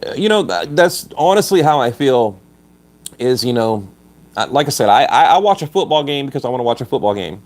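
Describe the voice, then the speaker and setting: A man hosting a livestream from his phone, stuffed up and slightly hoarse. He talks bluntly and combatively, reading viewer comments and stumbling over restarts as he goes. His pitch sits in an ordinary middle range. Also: very animated, fairly quick, a little mellow. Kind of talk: casual livestream rant.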